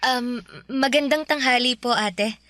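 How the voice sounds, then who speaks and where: A woman's voice: on the high side, a little slow, pushed and bright, no room sound. An actress with a Filipino accent playing a teasing but polite young girlfriend in a radio drama. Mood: coy, bashful. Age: young adult. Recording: music under the dialogue.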